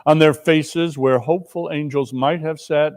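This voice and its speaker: A man narrating a story in a dramatic reading, with measured and emphatic phrasing. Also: fairly high pitch, fairly even intonation, a little mellow. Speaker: dramatic reader.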